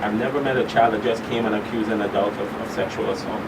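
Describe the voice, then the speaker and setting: A man explains things as an expert guest in a conversational interview. He is deliberate and halting, often repeating himself for emphasis. He sounds away from the mic, over clear background noise. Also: fairly low pitch, steady tone, recorded from a distance. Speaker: expert guest, school counselor.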